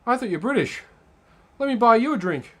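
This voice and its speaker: A man's voice: extremely high pitch, animated, brisk, bright, forceful tone, voice pushed up, heavily acted. A man voicing a large man from a bar story, swearing at first and then gushing apologies. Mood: apologetic.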